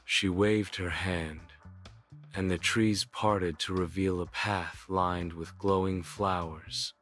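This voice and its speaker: Male narrator for bedtime tale: low voice, unhurried, solemn, formal, soft music bed. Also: fairly even intonation. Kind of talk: scripted bedtime story narration.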